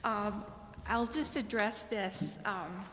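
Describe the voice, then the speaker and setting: A woman on city staff explaining matters to a council in deliberate, halting phrases. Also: medium pitch, fairly bright tone. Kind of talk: explanatory report to a public meeting. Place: large indoor council chamber. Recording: reverberant hall with faint noise.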